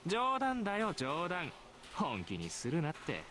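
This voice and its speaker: A man's voice: fairly high pitch, huge pitch swings, fairly quick, sharp tone, slight background noise, full character voice. A man voicing a smug, sneering teenage schoolboy in a visual novel, with a mocking, flippant, theatrical delivery. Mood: smug mockery. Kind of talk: Japanese visual novel voice acting.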